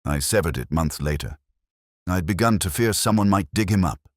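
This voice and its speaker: A male narrator plays a weary old magistrate from a detective story, burdened with guilt. The voice is very low yet lifts and falls markedly, confessing in halting, formal phrases. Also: animated, no room sound, clean studio sound. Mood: guilty confession.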